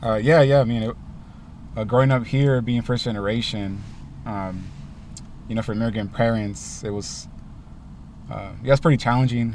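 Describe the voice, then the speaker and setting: Young man, rapper, relaxed, filler-heavy, low-ish voice, distant mic, faint car noise. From Los Angeles. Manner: laid-back, many ums and likes. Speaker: rapper, interview guest. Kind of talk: casual interview answers. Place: inside a parked car.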